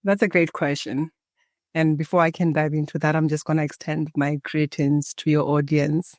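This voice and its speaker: A woman from Botswana, a Setswana native speaker, guesting on a podcast and telling her story in a calm, guiding way, as if leading a meditation. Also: low voice, normal speed, no room sound, clean studio sound. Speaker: guest, embodiment coach. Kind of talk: podcast interview, reflective storytelling.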